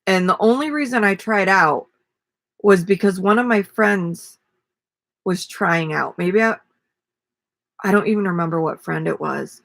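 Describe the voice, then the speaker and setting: A woman in her forties with a raspy voice, chatting casually and rambling on a live stream from a small camper. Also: medium pitch, a little slow, pauses often, dry recording, studio quality. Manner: relaxed, rambling, self-deprecating. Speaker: vlogger and ukulele player. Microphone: webcam at desk.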